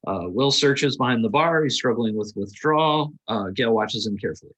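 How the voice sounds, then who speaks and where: Husky-voiced man reading stage directions. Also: mid-range voice, lively intonation, normal speed, a bit bright, rough recording. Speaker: reader of stage directions at a table read. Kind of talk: scripted narration, read aloud.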